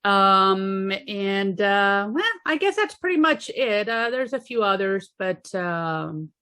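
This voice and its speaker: A woman's voice: mid-range voice, animated, takes it slowly, pushed and bright. A woman hosting a podcast, chatting casually over a video call, laughing often and reading from a list. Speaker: podcast host. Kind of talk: casual chat.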